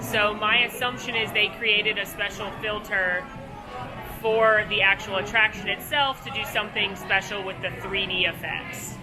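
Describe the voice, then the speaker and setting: A woman's voice: medium pitch, fairly even intonation, normal speed, over music, rough recording. A woman hosting an upbeat theme-park vlog outdoors, talking in a bubbly, chatty, playful way. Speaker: vlog host.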